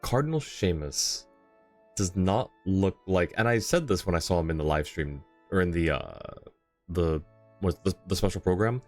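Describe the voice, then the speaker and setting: Low-voiced man streaming a game casually. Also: normal speed, a little mellow. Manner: rambling, thinking aloud, informal. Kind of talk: casual live-stream commentary, chatting with viewers. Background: faint game music.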